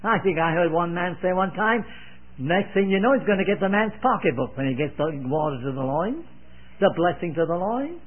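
A man's voice: sits high, expressive, fairly quick. A man in his eighties preaching a sermon, earnest and emphatic, repeating phrases with solemn, old-fashioned devotional wording. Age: 80s. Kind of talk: sermon, expounding scripture. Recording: narrow, phone-like old sermon recording.

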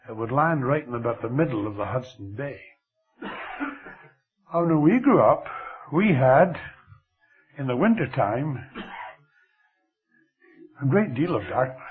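An older man with a Scottish accent preaching a sermon, slow and expressive, letting his voice fall very quiet at the ends of phrases. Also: mid-range voice. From Scotland. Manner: slow, lively delivery with dramatic drops to near whisper. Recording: thin phone-like radio broadcast.

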